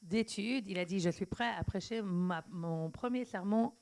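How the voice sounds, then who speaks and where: A woman works as a live interpreter for a sermon. She delivers it phrase by phrase, sometimes halting to correct her wording. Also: medium pitch, darkish tone.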